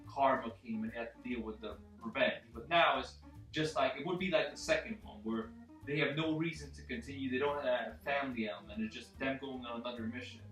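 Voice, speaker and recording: A man's voice: mid-range voice, a bit bright, recorded from a distance. A man giving his opinion in a casual movie discussion, emphatic and repeating phrases for stress. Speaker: panel member in a film discussion. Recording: echoey room, off the mic, faint music.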